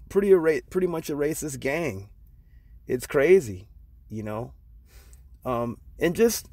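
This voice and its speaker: A man's voice: medium pitch, darkish tone, dry recording. A middle-aged man from Long Beach, talking casually to his viewers with lots of fillers and restarts. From Long Beach, California. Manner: conversational, many fillers and restarts, loose and storytelling. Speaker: channel host.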